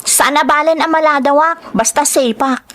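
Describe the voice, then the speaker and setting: A woman's voice: sits high, moderate pace, a bit bright. A woman playing a worried young woman in an audio drama, with an Ilocano accent, telling her family's story. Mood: fearful. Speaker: actor in a radio-style drama. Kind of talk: dramatized narration and dialogue. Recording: clear background noise.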